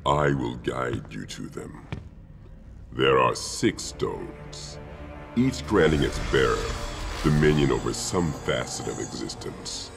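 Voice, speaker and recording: A man playing an imprisoned titan warlord in a video game, cold, sly and commanding, with a gravelly, imperious delivery. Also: rumbling low, big pitch swings, over music, heavily acted. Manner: slow, imperious, deliberate. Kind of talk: video game villain acting.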